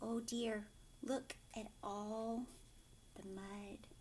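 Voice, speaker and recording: Female storytime teacher, fairly high voice, very unhurried, expressive read-aloud with hushed, questioning asides to young kids. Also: a little hiss or hum. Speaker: teacher reading a picture book aloud.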